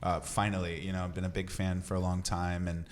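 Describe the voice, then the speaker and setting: A man's voice: low-pitched, monotone, a little fast, a little hiss or hum. A man hosting a casual radio interview in a studio, speaking in an effusive, encouraging way.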